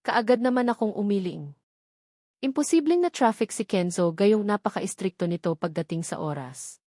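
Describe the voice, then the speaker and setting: A woman's voice: medium pitch, lively intonation, moderate pace, warm tone, no echo, studio quality. A woman with a Filipino accent reading a dramatic first-person novel as an audiobook narrator.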